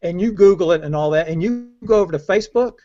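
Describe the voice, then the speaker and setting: A man's voice: sits high, quick pace, warm tone. An older man making his case in a casual panel debate, emphatic and often restarting his sentences. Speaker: panelist in a marketing discussion. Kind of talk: casual argumentative panel chat. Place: indoors on a video call. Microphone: earbud headset. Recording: dull lo-fi video call audio.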